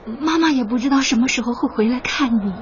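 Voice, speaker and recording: A woman in her thirties playing a tender, sorrowful mother in a radio drama, speaking softly and soothingly to her child. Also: on the high side, fast talker, a crowd in the background, close to an everyday voice. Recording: muffled, with noisy station ambience.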